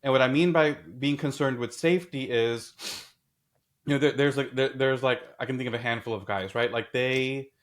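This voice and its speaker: A man in a casual discussion, talking in an animated way and telling stories with acted quotes. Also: mid-range voice, normal speed. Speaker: podcast host.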